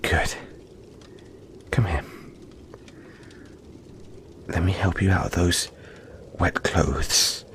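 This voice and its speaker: A man voicing a gentle, friendly young yeti in an intimate audio roleplay, speaking softly and reassuringly, with halting, breathy phrasing. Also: low-pitched. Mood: tender, soothing. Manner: soft-spoken, reassuring, halting, often breathy near-whisper.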